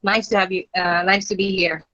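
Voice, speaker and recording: Woman from Indonesia, bright voice, polite short introduction, muffled call audio. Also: mid-range voice, level tone, a little fast, no echo. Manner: polite, brief self-introduction. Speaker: meetup participant. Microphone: video call. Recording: dull video call audio.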